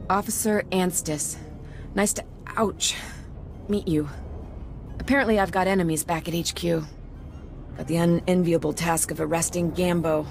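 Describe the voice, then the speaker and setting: A woman's voice: deep voice, darkish tone. A woman playing a wry, battered police officer in a video game, sounding weary and in pain. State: pained, woozy.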